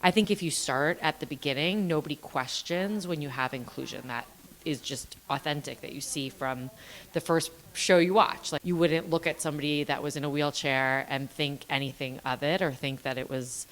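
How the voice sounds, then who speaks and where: A woman's voice: deep voice, fairly bright tone. A woman giving a conversational interview answer. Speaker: interviewee in children's content.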